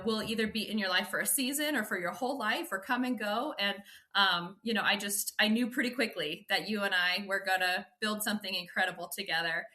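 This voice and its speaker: Bright, effusive woman podcast host. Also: medium pitch. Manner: enthusiastic, effusive. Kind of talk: podcast interview.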